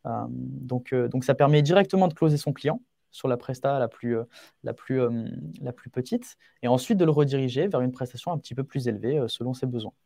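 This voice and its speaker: A man's voice: medium pitch, fairly quick, gravelly, warm tone, dry recording, studio quality. An eighteen-year-old man giving advice as a podcast guest in an earnest, informal, emphatic way, often repeating key phrases. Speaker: young entrepreneur, podcast guest. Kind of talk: conversational interview answers, giving advice.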